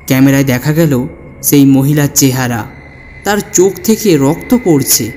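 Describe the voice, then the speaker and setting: A man's voice: medium pitch, expressive, moderate pace, warm tone, slight background noise. A man reading a scripted ghost story as narrator, with dramatic, suspenseful delivery. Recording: long hall reverb, music underneath. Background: music.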